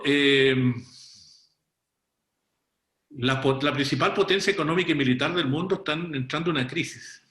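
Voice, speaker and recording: A man's voice: medium pitch, a bit bright. Man analyzing politics, echoey room. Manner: explanatory, with asides and self-corrections. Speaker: panelist. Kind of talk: political analysis on a panel show.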